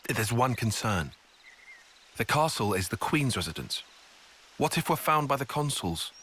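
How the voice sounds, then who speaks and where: Analytical young tactician: crisp bright edge, lively inflection, wary, precise, video game cutscene. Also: male voice, medium pitch, unhurried, a little hiss or hum. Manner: precise, questioning. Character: cautious, analytical young male tactician soldier.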